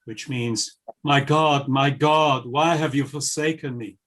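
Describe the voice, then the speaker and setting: A man narrating scripture in a solemn, measured and formal reading style. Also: medium pitch, fairly even intonation, a bit bright.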